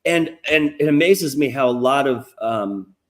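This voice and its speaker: A man's voice: medium pitch, expressive, measured pace. Nasal, profane middle-aged man rambling. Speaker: podcast host. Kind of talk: casual livestream interview chat.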